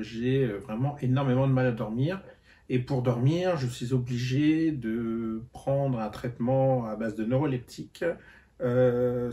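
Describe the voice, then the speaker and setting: A man's voice: mid-range voice, warm tone. A tired man chatting casually in a book vlog, hesitant and full of fillers and restarts. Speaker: book vlogger. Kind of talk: casual reading-update vlog.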